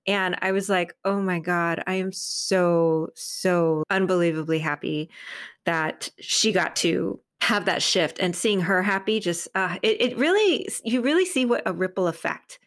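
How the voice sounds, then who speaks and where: A middle-aged woman talking casually as an interview guest, telling stories in an animated, effusive way and acting out bits of dialogue. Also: medium pitch, dry recording, studio quality. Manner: animated, effusive, re-enacts dialogue, laughs often. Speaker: podcast guest, author and creative.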